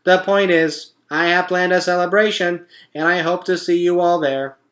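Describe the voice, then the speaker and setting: A man's voice: high voice, steady tone, normal speed, a bit bright, pitched above the performer's own voice. A man voicing a cheerful, polite female robot, speaking in a perky, formal announcing way. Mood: perky, welcoming. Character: cheerful, polite female robot addressing her animal friends.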